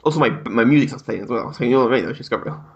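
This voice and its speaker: A man's voice: mid-range voice, racing, lo-fi sound. Irreverent young man playing a game. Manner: irreverent, crude, laughing often. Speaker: let's play host. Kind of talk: joking game commentary and reading dialogue aloud.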